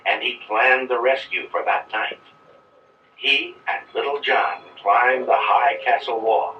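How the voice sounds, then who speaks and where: A man's voice: medium pitch, steady tone, measured pace, distant mic, a little hiss or hum, close to an everyday voice. A man reading scripted narration for a children's read-along storybook. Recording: roomy, dull playback of old read-along record.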